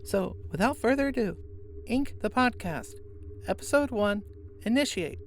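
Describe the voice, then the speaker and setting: A woman's voice: fairly high pitch, lively intonation, normal speed, a little hiss or hum. A woman hosting a podcast who recommends a show in a chatty tone with wry asides. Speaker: podcast host. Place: workplace, on lunch break.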